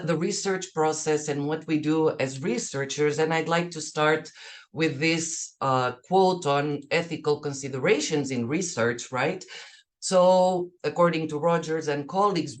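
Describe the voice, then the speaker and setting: A woman from Portugal with a Portuguese accent gives an online academic presentation as a researcher, reflective and often checking her points with "right?". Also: sits low. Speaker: academic researcher, keynote speaker. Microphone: video call.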